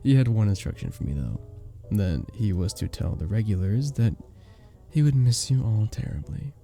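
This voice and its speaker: A very dark, mellow voice with a gravelly creak and lively rises and dips. It is a charming, sweet vampire bartender, acted out by a man, soft-spoken and courteous in the formal way of an audio roleplay. He sounds modest and gentle throughout. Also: lowish voice, normal speed, faint music behind. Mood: warm, courteous. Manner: gentle, polite, slightly formal, bashful chuckles.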